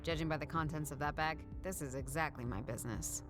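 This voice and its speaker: An actress playing a tough, dry-witted woman detective who narrates a noir game in a deadpan, understated way. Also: low voice, fast talker, over music, lightly acted. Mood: defiant, cool.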